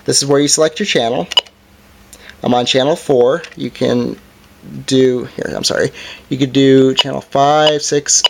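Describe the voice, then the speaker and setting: A man's voice: medium pitch, fairly even intonation, measured pace, vocal fry, darkish tone. A man giving a casual hands-on product review as its presenter, in an informal, hesitant and somewhat apologetic way.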